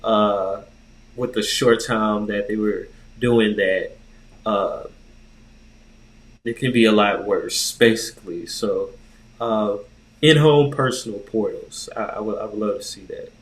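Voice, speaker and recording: A man co-hosting a podcast in casual conversation, rambling and halting as he thinks aloud in slang. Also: fairly low pitch. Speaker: podcast co-host. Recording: roomy, echoey sound.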